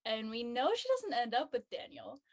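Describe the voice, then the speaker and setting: A woman with a fairly high-pitched voice chats casually on a panel. She is talkative and moves from thought to thought as she shares her predictions. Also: very animated, moderate pace. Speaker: actor on a drama panel. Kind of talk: casual chat.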